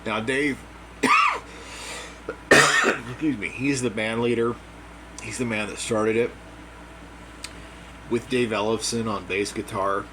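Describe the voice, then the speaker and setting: A man with a hoarse, dry voice giving a casual, opinionated monologue as a music commentator, plain-spoken and repeating his points. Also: lowish voice, wildly swooping pitch, slow, long pauses. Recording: air conditioner hum behind.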